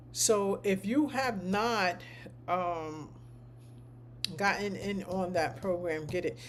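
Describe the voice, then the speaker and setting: A woman with a deep voice, a medical coding instructor, chatting casually to her class on a live stream, rambling and repeating points for emphasis. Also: slight background noise.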